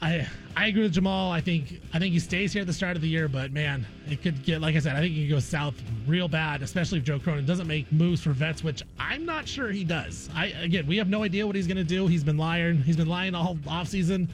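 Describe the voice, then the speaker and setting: Guy hosting sports talk, voice on the high side, casual takes, repeats himself to make the point, music underneath. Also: brisk, few pauses. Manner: conversational, repeats phrases for emphasis. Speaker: sports talk radio host.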